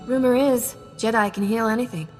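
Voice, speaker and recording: An actress playing a bold, wry female smuggler captain in a video game, with a brisk manner. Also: a little high, fairly even intonation, big-room sound, soft background music, close to an everyday voice. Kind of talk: video game character acting.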